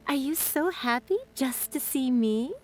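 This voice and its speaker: A slow-spoken, fairly high-voiced young woman from an anime game. She is self-assured, teasing and fond, with soft music behind her. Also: heavily acted. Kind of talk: anime-style game voice acting.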